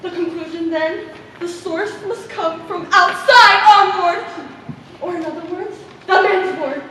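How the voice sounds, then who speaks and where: A woman's voice: very high-pitched, measured pace, bright, forceful tone, heavily acted. A woman acting in a stage play as one of the women at a ward meeting. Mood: declamatory. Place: theater stage, far from the mic. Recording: echoey hall from far off, faint noise.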